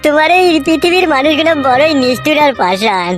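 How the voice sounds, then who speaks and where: Man voicing a high-pitched, whiny, aggrieved young son. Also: big pitch swings, fairly quick, fairly bright tone, faint music behind, heavily acted. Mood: wailing, despairing. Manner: whiny, defiant, crude. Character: aggrieved, foul-mouthed, self-pitying young married son. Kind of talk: Bengali comedy cartoon dub.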